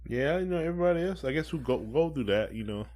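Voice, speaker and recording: A man's voice: fairly high pitch, animated, fast talker, darkish tone. A man with an American accent co-hosting a podcast, asking questions in casual, colloquial speech.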